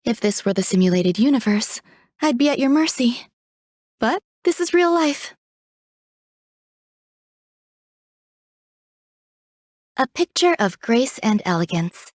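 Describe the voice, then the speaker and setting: A woman voicing a refined, coolly detached genius scientist in a video game, speaking in a composed, measured, poetic way. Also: fairly high pitch, expressive, darkish tone, no echo, clean studio sound. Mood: cool, poised. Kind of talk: video game character voice lines.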